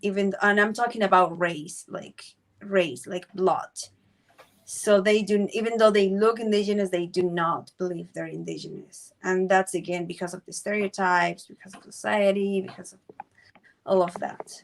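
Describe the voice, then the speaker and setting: A woman from Peru, speaking English as a guest in a casual podcast conversation and pausing often with fillers. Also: mid-range voice, steady tone, gravelly. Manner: hesitant, many fillers.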